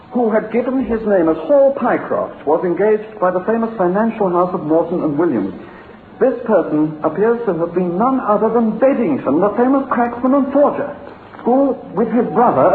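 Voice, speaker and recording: An earnest young English clerk, puzzled and eager, in a radio drama. The voice is high and very animated. The recording is tinny, like old radio. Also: male voice, reverberant, a little hiss or hum. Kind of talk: British radio drama acting.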